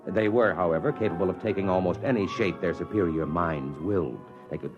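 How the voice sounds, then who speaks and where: A man's voice: low-pitched, brisk, over music, lightly acted. An actor playing an eager, skeptical reporter in a 1950s radio drama, plain-spoken and wry as he narrates and talks. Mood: wry narrating. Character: eager, skeptical, ambitious male newspaper reporter. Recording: dull old-time radio recording.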